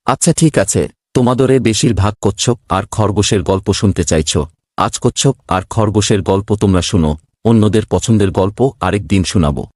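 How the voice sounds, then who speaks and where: A man's voice: on the low side, normal speed, darkish tone, no echo, studio quality, natural voice. A man playing a kindly schoolteacher in a children's cartoon, patient and instructive as he speaks. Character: kindly male schoolteacher telling pupils an animal fable.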